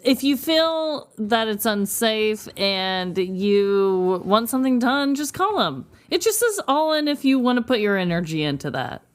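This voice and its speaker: A woman with a slightly high, very animated voice. She talks casually as a podcast panelist, informal and supportive with a joking streak. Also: measured pace, hardly any pauses. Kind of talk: casual chat.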